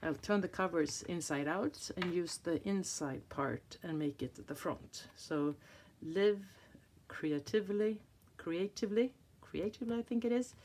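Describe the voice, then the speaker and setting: Swedish lady in her 50s, low gravelly voice, slow and unsure, lots of restarts while she flips her planner. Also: a little hiss or hum. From Sweden. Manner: hesitant, searching for words, many restarts. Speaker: planner vlogger. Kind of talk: casual flip-through chat. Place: indoors at a table, hands on a planner.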